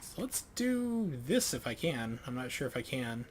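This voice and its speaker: A man plays through a game on stream and reads the on-screen tutorials aloud. He stumbles and corrects himself as he reads, with his voice at an ordinary middle pitch. A faint hum sits behind him. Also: big pitch swings. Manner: self-correcting, halting reading aloud. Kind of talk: thinking aloud while reading game tutorials.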